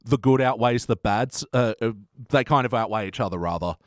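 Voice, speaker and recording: A man's voice: medium pitch, a little fast, dry recording, clean studio sound. A man co-hosting a podcast in loose, rambling, jokey and informal conversation. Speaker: podcast co-host. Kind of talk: casual chat.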